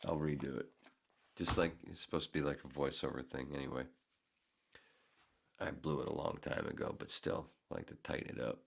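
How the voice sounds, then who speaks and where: A man's voice: extremely low pitch, a little fast, vocal fry, long pauses, slight background noise. A man hosting a solo podcast, talking in a rambling, profane, self-deprecating way. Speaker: podcast host. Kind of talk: casual solo podcast monologue.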